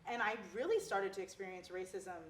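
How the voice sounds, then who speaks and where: A woman's voice: medium pitch, expressive, a little fast, slight background noise. Young woman panelist from California, earnest, thinks aloud with restarts; roomy sound, set back from the mic. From Torrance, California. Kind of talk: casual panel discussion. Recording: roomy, distant recording.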